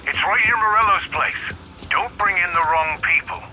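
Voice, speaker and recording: An actor playing a brisk police dispatcher making clipped official announcements over a radio in a game. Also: male voice, high voice. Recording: loud music and engine noise.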